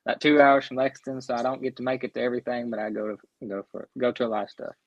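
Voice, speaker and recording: A man's voice: mid-range voice, fairly even intonation, rapid-fire, no echo. A young man from Central Kentucky with a Southern drawl, chatting easygoingly as a podcast guest and restarting his words often. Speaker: guest writer. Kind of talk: casual chat. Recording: dull lo-fi sound.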